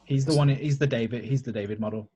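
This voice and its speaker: A man's voice: mid-range voice, quick pace, mellow. A man talking casually as a player in a tabletop role-playing game, on a lo-fi recording. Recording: dull, lo-fi voice link.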